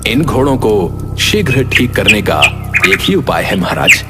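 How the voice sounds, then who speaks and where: A man voicing a solemn royal animal physician in an animated fable, speaking formally as an adviser. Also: on the low side, unhurried. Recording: loud music behind the voice.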